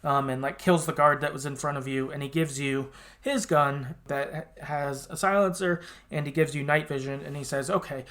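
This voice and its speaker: A man's voice: on the high side, steady tone, normal speed, a bit bright. Man casually retelling a story, loose and sweary. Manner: informal and sweary, paraphrasing characters in loose colloquial asides. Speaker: podcast host.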